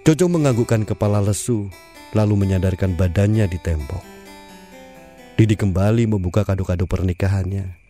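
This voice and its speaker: A man narrating an audio drama in a measured storytelling manner. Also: lowish voice, warm tone. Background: faint music.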